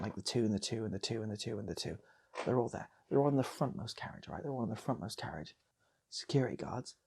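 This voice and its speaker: A man's voice: medium pitch, creaky, a little mellow, husky. A man telling an improvised comic story in a loose, rambling way, repeating phrases as he goes. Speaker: storyteller and channel host.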